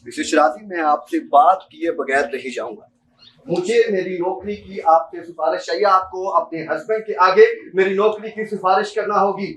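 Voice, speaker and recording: A man's voice: high-pitched, moderate pace, a little hiss or hum, close to an everyday voice. A student actor, a young man with a Pakistani accent, playing an insistent, politely menacing bank clerk in an amateur stage drama. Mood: pleading, insistent. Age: young adult. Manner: formal, insistent, repeatedly addressing the listener politely. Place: seminar hall stage. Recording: distant mic in a hall.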